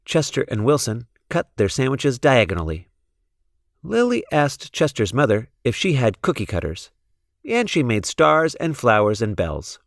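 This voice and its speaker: A man reading a children's storybook in an expressive narrating style. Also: medium pitch, measured pace, dry recording, clean studio sound. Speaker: storybook reader.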